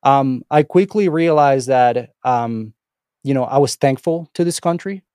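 Man, Venezuelan Spanish accent, middle-aged, rambling guest, mid pitch, dry close mic. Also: a little slow, darkish tone, clear, resonant tone, no echo. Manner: rambling, many restarts and fillers. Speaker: interview guest, instructor and author. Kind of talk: casual interview conversation.

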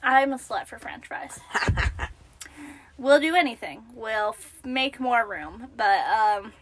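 Young woman, podcast co-host, naturally high-pitched, bright voice, chatty, self-deprecating. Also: expressive, slow, rough recording. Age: young adult. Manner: chatty, self-deprecating, sometimes drops to a whisper. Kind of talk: casual chat.

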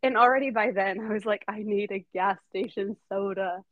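A woman's voice: medium pitch, normal speed, no echo. Give me a chatty, giggly woman hosting a podcast, on a slightly muffled mic. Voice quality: giggly, chatty, filler-heavy. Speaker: podcast host. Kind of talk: casual storytelling chat.

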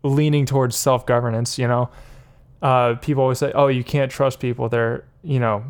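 A man chatting casually on a podcast, circling around his ideas with lots of likes and you-knows. He laughs often, and his voice sits at a middle pitch. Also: level tone, normal speed. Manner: rambling, many fillers, laughs often. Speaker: podcast co-host. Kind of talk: casual political chat.

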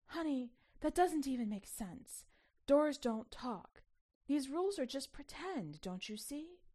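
Lady doing an anxious mom in a story, coaxing her kid, kinda exasperated, very animated. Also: high voice, unhurried, voice pushed up. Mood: frantic exasperation. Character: anxious mother, adult woman, coaxing her child.

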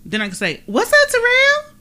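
A woman's voice: very high voice, huge pitch swings, normal speed, pushed and bright. A woman hosting a podcast in casual chat, with a playful, exclamatory manner and frequent laughter. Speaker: podcast host.

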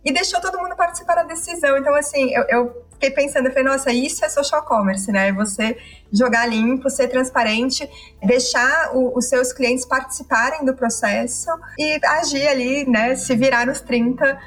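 A woman's voice: sits high, moderate pace, a bit bright. A woman with a São Paulo Brazilian accent, explaining and telling stories conversationally in an enthusiastic way.

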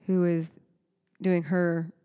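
A woman's voice: on the low side, level tone, slow, warm tone, clear, resonant tone. A woman in her thirties, co-hosting a podcast in casual chat, a chatty storyteller who laughs often. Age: 30s. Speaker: podcast co-host.

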